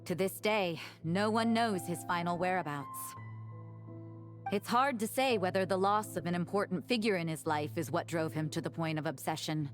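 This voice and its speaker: A woman voicing a thoughtful grown woman who reflects on an old friend in a measured way, in a video game. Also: on the low side, soft background music, lightly acted. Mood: reflective.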